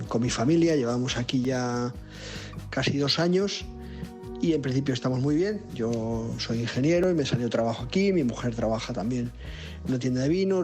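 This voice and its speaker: A man's voice: mid-range voice, darkish tone. Casual man with a Spain accent. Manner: informal, rambling. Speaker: listener leaving an audio message. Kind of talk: casual voice message. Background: music.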